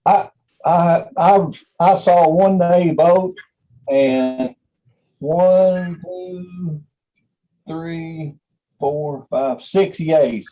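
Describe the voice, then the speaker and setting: A man's voice: on the high side, drawn-out words, warm tone, rough recording. A man acting as meeting secretary, counting aloud and reporting a tally. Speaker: meeting secretary taking the vote.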